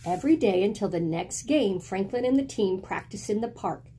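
A middle-aged woman reading a picture book aloud in an expressive, deliberate storytelling style, indoors at home. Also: mid-range voice, a little hiss or hum. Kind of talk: expressive children's read-aloud. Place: small indoor room at home with bookshelves.

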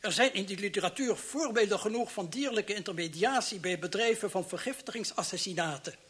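An actor playing a muddled, pedantic toxicology professor in a vintage radio play, halting and repeating himself. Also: male voice, sits high, a bit bright. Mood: absent-minded. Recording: noisy old radio drama recording.

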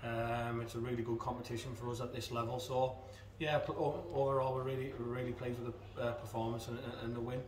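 A man's voice: medium pitch, level tone, quick pace, few pauses. A man in his thirties, an assistant football manager, giving a post-match interview with many fillers and restarts. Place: indoors in front of a club sponsor backdrop. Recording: echoey room, mic at a distance.

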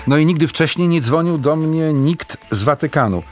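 A polite male radio host played by an actor talks in a hesitant, conversational way in a radio play. His voice sits a little high, with faint music behind. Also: moderate pace, a little mellow, natural voice. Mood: bemused, polite. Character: polite, amazed radio call-in show host. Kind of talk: radio play as live phone-in.

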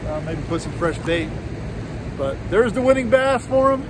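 Laid-back fishing dad outdoors, loud wind. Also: male voice, high voice, animated, normal speed. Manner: laid-back, chatty, laughs easily. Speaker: fishing vlogger. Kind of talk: casual outdoor vlog. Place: outdoors by a river below a dam, later in a car. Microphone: handheld phone camera. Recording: loud wind and water noise.

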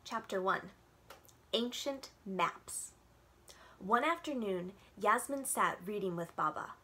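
A young woman reads a children's picture book aloud at story time, stretching out her words expressively. She sounds like a lively children's librarian. The audio comes from a webcam in a room at home. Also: mid-range voice. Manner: animated and expressive, drawn-out storytelling. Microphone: webcam on a video call.

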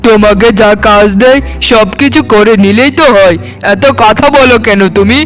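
A man's voice: sits high, normal speed, fairly bright tone, soft background music, low-quality recording. A man playing a callous, overbearing young husband in a cartoon, stern and threatening. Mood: scolding. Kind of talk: cartoon voice acting.

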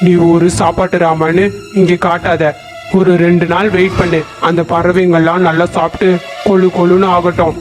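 Cartoon villain: bossy, menacing bird pirate captain male actor, high voice, little melodic swing, faint music underneath. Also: level tone, moderate pace, natural voice. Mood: scheming, bossy. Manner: commanding, menacing. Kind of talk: Tamil children's animated story dub.

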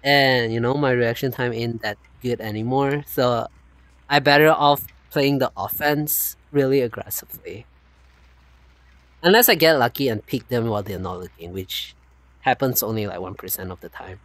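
A man hosting a casual chat stream in an animated, rambling way with frequent laughs and a non-native accent. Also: mid-range voice, normal speed, no room sound. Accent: non-native, unnamed. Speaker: streamer host.

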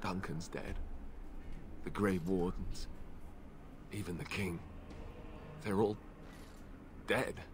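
A man's voice: sits low, steady tone, slow pace, long pauses, noisy background. A young man acting an earnest, anxious warrior in a fantasy game, hesitant and naive in his delivery. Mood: grieving, shaken. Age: young adult.

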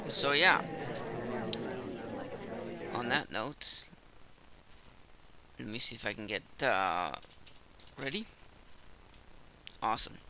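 A man's voice: medium pitch, very noisy. A man with a cold, casually commenting on his own gameplay while he plays, rambling with lots of fillers. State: hoarse from a cold.

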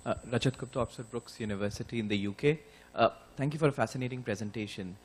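A man asking a measured, articulate question from the audience in a large lecture hall. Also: medium pitch, breathy edge.

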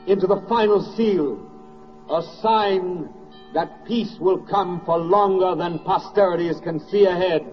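A grave, prayerful narrator of a wartime radio play. His voice sits a little high, and he declaims with stately, measured reverence. An orchestra swells behind him on a dull vintage recording. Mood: impassioned. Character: solemn male narrator praying over a war's end. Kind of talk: 1940s radio oratory, solemn dramatic narration. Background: orchestral score.

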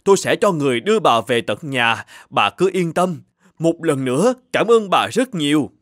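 A man voicing a courteous, grateful new father from a magical kingdom in an animated fairy tale, polite and eager. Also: fairly high pitch, normal speed, no room sound. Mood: generous, insistent.

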